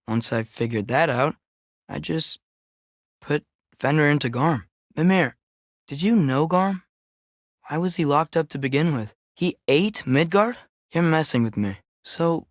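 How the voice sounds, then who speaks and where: Earnest, higher-voiced teenage boy played by a man. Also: no echo, clean studio sound, lightly acted. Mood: explaining, then curious. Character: curious, earnest teenage boy, adventurer in Norse myth. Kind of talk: naturalistic video game voice acting.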